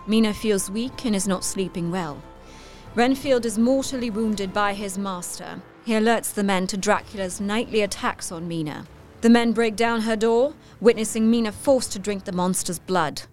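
A woman's voice: medium pitch, a little mellow. Woman narrating a drama recap. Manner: clear, measured storytelling. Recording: faint music behind.

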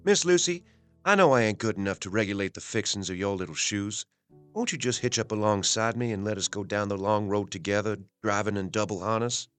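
An actor playing an earnest, jolly young suitor with a folksy, slangy manner in an audio drama. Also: male voice, on the low side, a little fast, a bit bright, no echo, soft background music, lightly acted. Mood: earnest, courting.

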